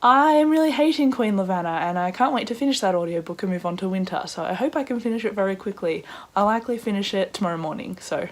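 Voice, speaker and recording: Croaky-voiced chatty woman vlogger. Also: mid-range voice. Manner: chatty, excitable, quick asides. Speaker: book vlogger. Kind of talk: casual vlog chat.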